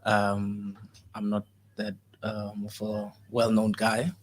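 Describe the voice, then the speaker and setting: A young man with a South African accent giving a halting, reserved account as a guest in a casual radio chat. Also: on the low side, completely flat delivery, slight background noise. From Mdantsane, South Africa.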